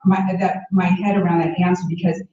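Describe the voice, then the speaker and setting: A woman's voice: fairly low pitch, flat delivery, a little fast, mellow. A woman in the audience pressing a question at a forum, insistent and talking over the moderator. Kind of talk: interrupting at a public forum. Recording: echoey hall, distant muffled mic.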